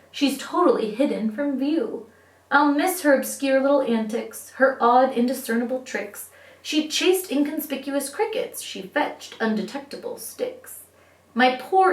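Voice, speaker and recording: A woman with a fairly high voice reads children's poetry aloud in an expressive, teacherly way. She phrases clearly for young listeners. The recording is roomy and echoey, with her sitting back from the microphone. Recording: roomy echo, distant microphone.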